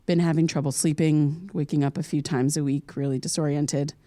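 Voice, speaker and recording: Woman acting as wry podcaster, dry close sound, halting, muttering to herself, self-deprecating, naturalistic audio drama. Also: sits low, fairly even intonation, mellow, no room sound, close to an everyday voice. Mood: musing, reading aloud. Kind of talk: naturalistic audio drama monologue, reading aloud and musing.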